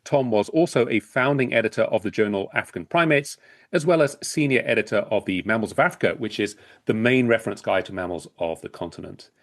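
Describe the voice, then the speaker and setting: A man's voice: on the low side, quick pace. A man hosting a podcast in a casual, conversational style.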